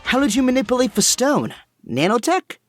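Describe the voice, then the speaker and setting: A man's voice: very high voice, sing-song, normal speed, soft background music. A man playing an earnest, brainy young inventor hero in an audio drama, with precise, technical, polite speech. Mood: intrigued.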